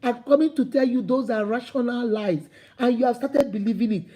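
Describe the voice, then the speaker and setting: A Nigerian-accented woman delivers a fired-up motivational talk, her high voice husky at the edges but mellow in tone. She preaches in repeating, building phrases that rise to emphatic calls. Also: a little fast. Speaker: motivational speaker. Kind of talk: impassioned motivational talk to camera.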